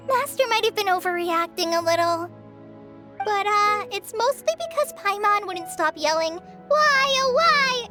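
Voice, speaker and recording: A woman's voice: extremely high pitch, heavily acted. A woman playing an earnest, shy girl training as a forest ranger in a video game, with a light, girlish, hesitant, polite delivery. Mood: apologetic.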